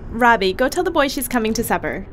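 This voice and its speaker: A woman's voice: on the high side, very animated, quick pace, noisy background. A woman playing a caring, wry spaceship medic in an audio drama, chatty, informal and reassuring. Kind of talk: naturalistic audio drama acting.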